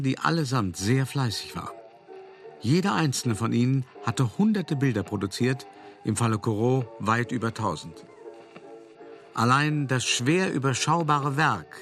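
An actor playing a cultivated, self-justifying art dealer, narrating a radio play in a measured, articulate and confiding manner. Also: male voice, mid-range voice. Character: cultivated, self-justifying male art dealer recounting his forgery scheme.

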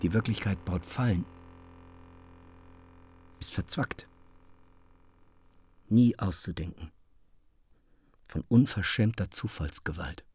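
An actor playing a guilt-ridden, embittered air traffic controller in a radio drama monologue, brooding and deliberate. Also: male voice, deep voice, darkish tone, slightly rough, exaggerated voice. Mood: resigned despair. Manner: brooding, deliberate, literary phrasing.

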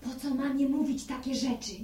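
An outspoken young woman of the village, acted by an actress in a radio play. Her voice is high and pert, with clear room echo around it. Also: fairly even intonation, fairly quick, dark tone, natural voice. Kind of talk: radio drama acting.

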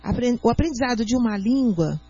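A Brazilian woman speaking as a doctor and guest on a radio talk show, explaining in an earnest, teacherly way and sometimes reading aloud slowly. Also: fairly high pitch, expressive, dark tone, husky. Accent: Brazilian Portuguese. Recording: muffled lo-fi radio audio.

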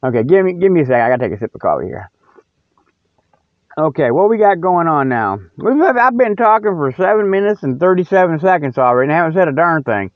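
Man, higher-pitched voice, folksy comic host, meandering ad-libbed delivery, thin phone-like recording. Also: very animated, fast talker, no room sound. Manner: folksy, colloquial, jokey, meandering. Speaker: host of a humorous mock news show. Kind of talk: rambling comic mock newscast, casual ad-libbed chat. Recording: narrow phone-like sound, dry.

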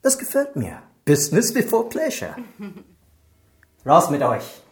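An actor playing a jovial but menacing Chinese triad boss with an oily, expansive manner in a radio crime drama. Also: male voice, high voice, huge pitch swings, takes it slowly, reverberant, exaggerated voice. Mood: oily, amused.

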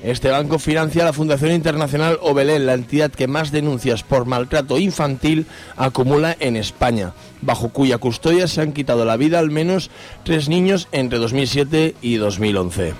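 A man's voice: mid-range voice, moderate pace, darkish tone. A man with a Spanish accent from Spain, hosting an informal radio show and reading announcements. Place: radio studio. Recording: faint music underneath.

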